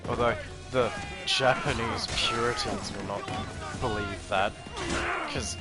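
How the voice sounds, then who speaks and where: A man with a fairly low voice rants through casual gameplay commentary, swearing freely and pressing his opinions. Loud game music plays behind him. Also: takes it slowly. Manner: ranting, profane, opinionated. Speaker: gaming commentator.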